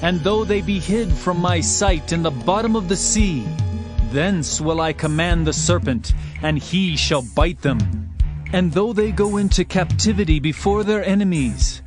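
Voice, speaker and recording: A man's voice: a little high, measured pace, music in the background. A man narrating a dramatized scripture reading in a solemn, declamatory manner.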